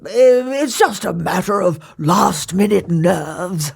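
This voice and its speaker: Woman putting on a pompous, drawn-out storybook emperor. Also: lowish voice, gravelly, husky, exaggerated voice. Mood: dismissive. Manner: boastful, grandly drawn-out delivery. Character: pompous, vain, deluded male emperor.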